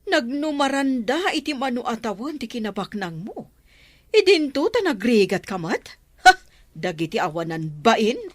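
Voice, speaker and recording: An actress playing a stern, protective middle-aged aunt in a radio drama, speaking with authority and an Ilocano accent. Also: female voice, on the high side, very animated, normal speed, dry recording. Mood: scornful, stern. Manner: firm, authoritative.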